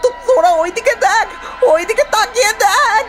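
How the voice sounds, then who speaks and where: Shrill, panicky young man, stammering. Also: normal speed, music in the background. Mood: terrified. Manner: stammering, whimpering. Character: timid, panicky young man terrified of ghosts. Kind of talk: animated horror cartoon dialogue.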